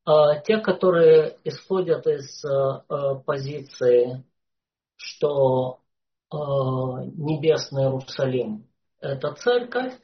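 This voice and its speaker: A lecturer speaks very slowly and deliberately at a middling pitch, stopping often to hunt for words with long "uh" fillers. The recording is dull and lo-fi. The delivery is unscripted and explanatory. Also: animated. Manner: hesitant, frequent "uh" fillers.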